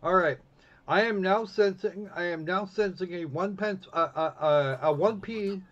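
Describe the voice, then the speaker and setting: A man with a high-pitched voice hosts a casual game stream. He rambles wryly through his commentary, swearing freely and reading bits of on-screen text. The recording is dull and a little muffled. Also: a little slow, fairly bright tone. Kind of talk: casual live commentary on a visual novel, reading text aloud.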